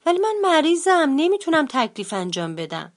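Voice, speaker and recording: A woman voicing a young girl bear from a children's storybook, pleading in a high whiny tone. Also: sing-song. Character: young girl bear, sick but cheeky.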